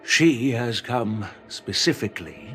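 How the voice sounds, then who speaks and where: An actor playing an older, stern male general who lectures slowly and with measured weight. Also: faint music behind. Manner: measured, lecturing, lively pitch. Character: stern old male general, wise and commanding. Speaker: veteran general. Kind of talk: game voice acting.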